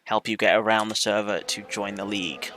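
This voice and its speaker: A man's voice: lowish voice, fairly even intonation, brisk, background noise. A man hosting and commenting on a sim racing livestream, welcoming viewers and keeping the talk moving. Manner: welcoming, runs the stream, directs talk.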